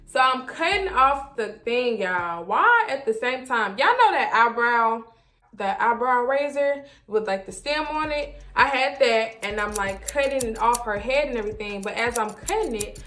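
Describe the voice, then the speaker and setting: A young woman telling a casual story to viewers in an animated way, acting out her own reactions and quotes as she goes. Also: a little high, normal speed. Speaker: vlogger.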